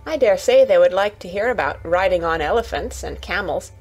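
A woman voicing a reserved, imperious but curious little girl in a storybook, speaking in a measured, direct way. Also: on the low side, animated, breathy edge, rough recording. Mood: thoughtful.

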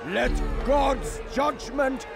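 An actor playing a tyrannical, power-drunk zealot ruler in a video game, with grandiose, declamatory delivery. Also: male voice, extremely high pitch, extremely slow, creaky, exaggerated voice. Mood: fanatical, furious. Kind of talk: theatrical public oratory in a video game. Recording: loud music and crowd behind voice.

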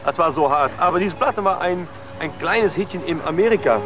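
A man in his twenties from the southern Netherlands with a Dutch accent, a DJ chatting in halting non-native German in an outdoor TV interview. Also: on the high side, lively intonation. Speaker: DJ and producer. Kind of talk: casual interview chat. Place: outdoors on a harbour waterfront. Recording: outdoor TV interview with loud music behind.

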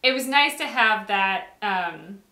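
A woman's voice: mid-range voice, expressive, slow pace, sharp tone. A woman in her thirties chatting casually as co-host of a reaction show. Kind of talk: casual chat. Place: small indoor set with backdrop, seated. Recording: echoey room.

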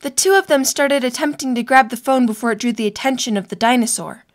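A woman's voice: on the high side, fast talker. A woman narrating a scripted story for an audio drama.

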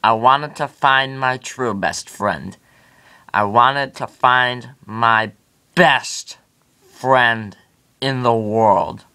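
A man's voice: medium pitch, pushed and bright. A man voicing a boastful male toy cowboy who calls himself the Wood and speaks of himself in the third person. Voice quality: cocky, swaggering, talks about himself in third person. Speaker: channel host. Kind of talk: toy comedy skit.

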